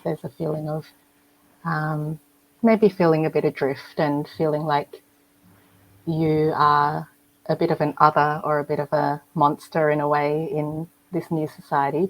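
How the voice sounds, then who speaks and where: Low, even-voiced thoughtful woman. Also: moderate pace, rough recording. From Malaysia. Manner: thoughtful, hesitant, many fillers. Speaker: panelist, writer. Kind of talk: panel discussion.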